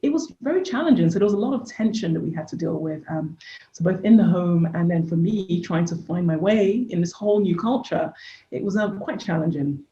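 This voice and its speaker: A woman's voice: lowish voice, expressive, brisk. A woman in her forties from Nigeria with an English accent, a podcast guest openly and reflectively telling her life story. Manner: reflective, open, warm storytelling with soft laughs. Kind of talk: candid life-story interview talk. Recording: echoey room, dull lo-fi sound.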